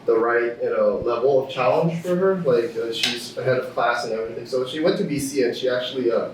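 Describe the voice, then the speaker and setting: A man's voice: medium pitch, fairly quick, noisy background. A young man from Thailand, a student guest on a podcast, talking casually with many fillers and restarts. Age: young adult. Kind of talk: casual interview chat. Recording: echoey room, distant from mic.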